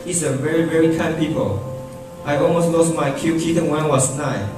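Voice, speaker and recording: Man doing a high, pleading loyal townsperson. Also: steady tone, fairly quick, natural voice. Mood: heartfelt pleading. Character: loyal, pleading small-town resident defending a friend. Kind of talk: amateur radio drama acting. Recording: echoey hall from far off, music behind.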